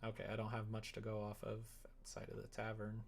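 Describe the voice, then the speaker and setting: A man's voice: on the low side, completely flat delivery, quick pace, gravelly, a little hiss or hum. A man chatting casually on a livestream while he works, thinking aloud in a rambling, hesitant way. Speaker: livestreamer making a visual novel. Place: indoors at home.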